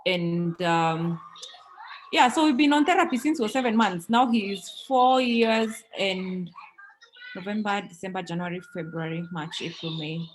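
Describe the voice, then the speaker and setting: A woman in her thirties from Kenya tells her family's story in an interview. She speaks earnestly and in a measured way, with frequent ums, at a middle pitch. Faint noise and small clicks sit under her voice. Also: low-quality recording. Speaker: interview guest, parent. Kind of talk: conversational storytelling.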